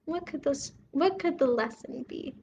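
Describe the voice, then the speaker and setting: A woman hosting a bedtime read-aloud for young children, expressive and putting questions to her listeners. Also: high-pitched, measured pace, lo-fi sound. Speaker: children's story reader and host.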